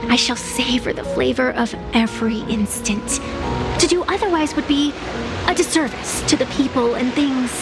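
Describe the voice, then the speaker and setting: A woman's voice: high voice, moderate pace. An actress voicing a playful, philosophical ageless woman in a video game, with a lilting, teasing, theatrical manner. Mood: serene resolve. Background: background music.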